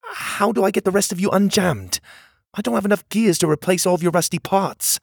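A man voicing a curious, level-headed male adventurer in a storybook, asking questions calmly. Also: high voice, brisk, vocal fry, slightly rough, no echo, studio quality, pitched above the performer's own voice, heavily acted.

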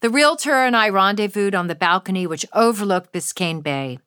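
A woman's voice: medium pitch, animated, a little fast, a bit bright, clear, resonant tone, no echo. A woman writer reading her own first-person essay aloud with wry, dry humor.

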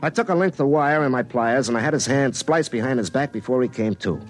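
A man's voice: mid-range voice, brisk, soft background music, natural voice. An actor playing a cocky, folksy small-town telephone lineman in a 1950s radio drama, speaking in a wry, colloquial way. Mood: wry storytelling. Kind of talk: 1950s radio drama acting with first-person narration. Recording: vintage 1950s radio recording.

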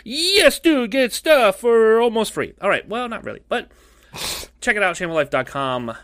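Male host with a cough, rambling and jokey, fairly high voice, lively delivery. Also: moderate pace, no room sound, studio quality. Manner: rambling, jokey, repeats himself. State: coughing, losing his voice. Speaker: podcast host. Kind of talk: casual podcast chat.

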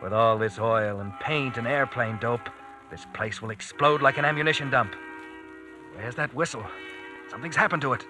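A man's voice: mid-range voice, normal speed, close to an everyday voice. Guy doing old radio suspense narrator, insistent and tense, dramatic music behind him. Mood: urgent, alarmed. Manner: insistent second-person address to the hero.

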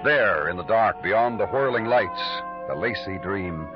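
A muffled vintage radio recording with clear music carries a world-weary police detective. He speaks low, terse and brooding, with the moody delivery of 1950s radio noir. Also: lively intonation, a little slow. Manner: clipped with suspects, brooding and poetic when narrating. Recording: dull lo-fi old radio recording.

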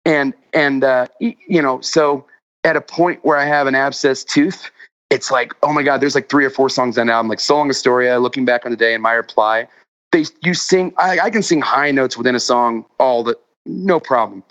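A man's voice: mid-range voice, a bit bright. Rambling man, slightly muffled audio. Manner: rambling, halting, self-interrupting. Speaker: musician guest. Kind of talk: casual remote interview chat.

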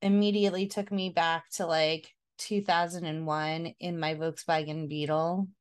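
A woman in her thirties from Florida co-hosting a casual podcast, her voice a little hoarse, laughing often. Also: low voice, little pitch movement, normal speed. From Florida, United States. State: slightly hoarse. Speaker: podcast co-host. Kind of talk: casual chat.

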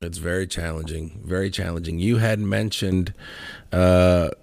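Low voiced podcast host guy, measured, asks long winding questions, dry close mic. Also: little pitch movement, vocal fry, a little mellow, dry recording. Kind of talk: interviewing a guest.

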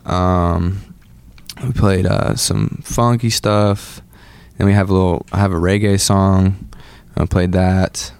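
A man's voice: deep voice, fairly even intonation, slow, gravelly, a little mellow, smooth, dry recording. A man in his twenties from Atlanta, a musician guest chatting loosely with lots of filler words. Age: 20s. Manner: laid-back, lots of "like" and "um". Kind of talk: casual interview chat.

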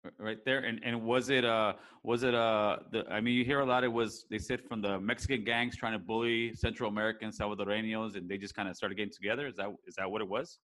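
A man hosting an interview, nudging his guest along with frequent short affirmations and asking questions in a halting, restarting way. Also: lowish voice, steady tone, a bit bright. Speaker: podcast interviewer. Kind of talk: conversational interview.

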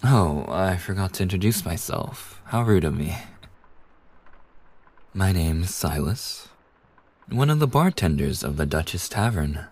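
A man playing a kind, polite young bartender in an ASMR roleplay, speaking softly and breathily in a courteous, soothing way with hesitant pauses. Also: deep voice, lively intonation, exaggerated voice. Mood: gentle, welcoming. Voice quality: soft, breathy. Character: kind, polite young male bartender, gently attentive university student. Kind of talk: ASMR roleplay, soft intimate scripted acting.

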